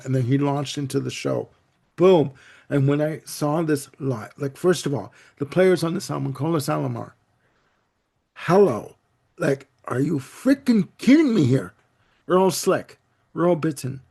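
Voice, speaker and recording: A man whose first language is Italian talks in a casual music panel. His voice has a gravelly fry, and he sits at a middling pitch. He rambles eagerly, stacking "you know" and "like" as he builds each point. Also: darkish tone. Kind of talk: casual panel chat about albums.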